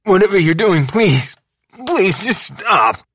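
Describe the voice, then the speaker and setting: A hostile young fighter, curt and wary, brushing off someone he does not remember, as an audiobook reader plays him. The voice is husky and dry, spoken close with no room around it. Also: medium pitch, moderate pace, pushed and bright, no echo. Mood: defensive. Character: hostile young man warrior, suspicious and confused. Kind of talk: audiobook character voice.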